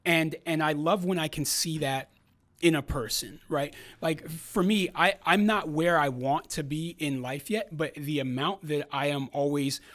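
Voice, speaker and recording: Earnest, higher-voiced man. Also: a little slow, a bit bright. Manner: earnest, reflective, many fillers and restarts. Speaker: podcast co-host. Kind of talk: casual podcast conversation.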